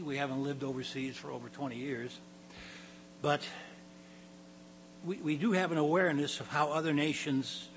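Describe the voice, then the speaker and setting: An older man with a raspy voice, a pastor giving a halting sermon to his congregation, often clearing his throat and repeating words. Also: fairly high pitch, a bit bright, slight background noise, rough recording. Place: church hall.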